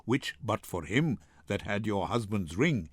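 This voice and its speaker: A man's voice: on the low side, expressive, husky, dry recording, pitched below the performer's own voice. An older man voicing a grave, melancholy, loyal merchant, solemnly, in a dramatic Shakespeare reading. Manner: solemn, deliberate.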